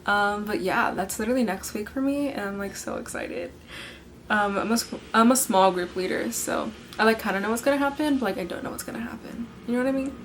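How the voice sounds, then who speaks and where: A teenage girl hosting her own podcast, her voice worn hoarse and scratchy from yelling. She chats along eagerly at a mid pitch, stuffing her sentences with "like" and little asides, with a soft hum of ambience behind her. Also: expressive, fairly quick. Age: late teens. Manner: bubbly, filler-heavy, says "like" constantly. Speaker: podcast host. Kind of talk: casual chat.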